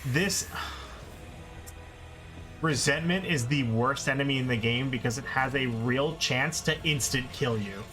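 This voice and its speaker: A man's voice: mid-range voice, a little slow, pauses often, fairly bright tone. A man giving live video game commentary, thinking aloud and exclaiming in frustration as he plays. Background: video game music.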